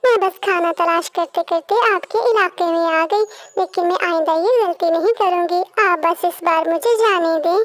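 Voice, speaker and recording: Woman voicing a tiny high-pitched cartoon bird. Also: normal speed, dry recording. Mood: pleading. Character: small devoted mother bird and her little chick. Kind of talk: Hindi children's cartoon voice acting.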